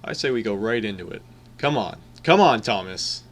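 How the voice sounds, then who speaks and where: A man's voice: on the low side, lively intonation, moderate pace, close to an everyday voice. A man playing an earnest, pedantic young swing-record buff, dry and matter-of-fact, recorded with some background noise. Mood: dry, bickering.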